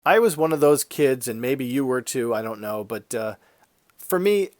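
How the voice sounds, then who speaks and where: A man hosting an art vlog in a casual, conversational and explanatory way, his voice congested and a little raspy from a head cold. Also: medium pitch, expressive, normal speed, darkish tone, slight background noise. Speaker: YouTube art channel host.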